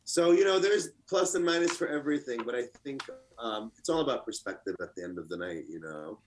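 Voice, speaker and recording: A man in his thirties from Lebanon, raised in the US, chatting casually as an art contestant with a relaxed, rambling delivery full of fillers. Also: mid-range voice, brisk, a little hiss or hum. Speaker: artist contestant. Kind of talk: casual chat.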